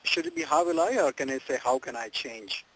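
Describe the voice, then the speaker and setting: A man's voice: medium pitch, expressive, brisk, a bit bright. A man answering a coach on a group call, hesitant and searching for words, often repeating himself. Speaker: coaching client, a working parent. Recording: noisy phone conference line with clicks.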